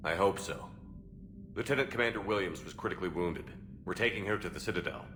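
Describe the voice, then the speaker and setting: Man playing a deep-voiced, grim military commander. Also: fast, noisy background, natural voice. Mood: grim, terse. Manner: terse, commanding. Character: male military commander, grim, weary, determined starship captain. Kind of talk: video game cinematic acting.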